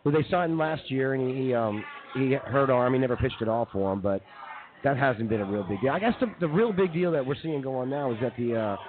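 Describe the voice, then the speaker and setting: A man's voice: mid-range voice, brisk. An American man co-hosting sports radio, giving opinionated, colloquial baseball commentary. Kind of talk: casual sports chat. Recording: tinny band-limited live broadcast.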